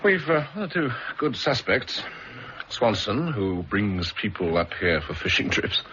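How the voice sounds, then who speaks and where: An earnest agent. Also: male voice, lowish voice, big pitch swings, measured pace, sharp tone, full character voice. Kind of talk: 1960s radio drama acting. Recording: dull old broadcast recording with faint hiss.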